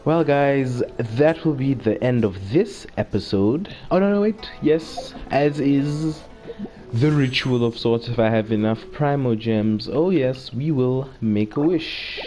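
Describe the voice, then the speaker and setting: A man's voice: mid-range voice, unhurried, mellow, faint music behind. A man giving casual, playful gameplay commentary as the host, often muttering asides to himself. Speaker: gaming video host. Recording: noisy home gameplay recording.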